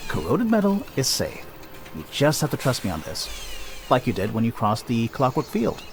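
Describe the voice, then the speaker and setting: Flamboyant, sing-song game adventurer. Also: male voice, mid-range voice, wildly swooping pitch, normal speed, background noise. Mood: persuasive, showy. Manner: theatrical, teasing, self-satisfied. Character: flamboyant, boastful young male adventurer and smith. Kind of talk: video game cutscene acting. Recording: music behind the voice.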